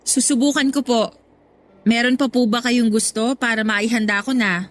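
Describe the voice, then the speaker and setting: A woman's voice: a little high, steady tone, moderate pace, natural voice. Actress as young woman, polite and restrained, slightly raised voice, radio drama. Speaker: goddaughter.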